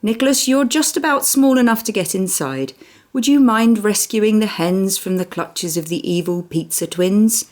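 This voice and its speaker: A woman voicing a brisk, wry, exasperated mum in a children's story, with a pointed, bossy way of talking. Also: medium pitch, a little mellow, voice pushed up, close to an everyday voice.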